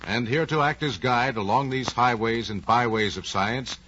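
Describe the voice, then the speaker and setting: A man's voice: medium pitch, moderate pace, sharp tone, a little hiss or hum. A man with an American accent speaking as announcer and interviewer in a scripted 1940s radio program, with polished, folksy delivery. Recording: muffled, lo-fi 1940s radio recording.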